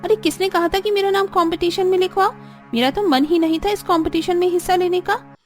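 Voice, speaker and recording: Woman as a whiny high-pitched spoiled young daughter-in-law. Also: fairly even intonation, fast talker, soft background music. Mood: defiant. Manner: whiny, talks back. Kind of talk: Hindi cartoon story voice acting.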